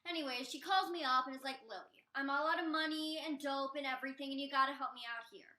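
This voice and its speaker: A young woman acts out a defiant, chatty female addict in a stage play. Her voice is high and bright, rambling along in casual, evasive storytelling. The room sounds echoey around her. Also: fast. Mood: chatty, brash. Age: young adult. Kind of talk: student one-act stage play acting. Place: indoor stage.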